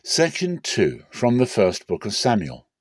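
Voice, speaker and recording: A man narrates scripture in a low voice with a dry, close sound. His delivery is measured and deliberate, shaped as a dramatic reading. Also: wildly swooping pitch, dry recording. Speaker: Bible reader.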